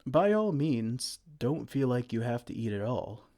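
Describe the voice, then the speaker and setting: A man voicing a kind, gentle male giant who cares for a tiny human, speaking softly and soothingly in an ASMR roleplay. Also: medium pitch, sing-song, unhurried. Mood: tender. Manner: soft-spoken, soothing, tender pet names.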